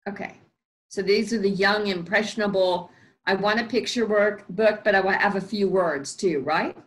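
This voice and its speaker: A woman host asking an author friendly questions in a casual, encouraging way. Her questions wander a bit before they land, and her voice sits at an everyday middle pitch. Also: moderate pace. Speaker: interviewer, host. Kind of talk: casual interview.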